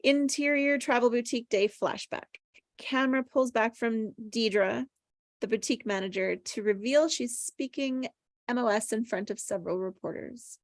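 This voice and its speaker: A woman's voice: a little high, normal speed, no room sound, clean studio sound. A woman reading stage directions aloud from a screenplay at a table read.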